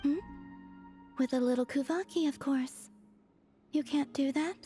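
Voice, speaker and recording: A woman voicing a serene young moon goddess in a video game, soft-spoken, composed and unhurried. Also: high voice. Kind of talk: animated video game voice acting. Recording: faint music behind the voice. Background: faint game music.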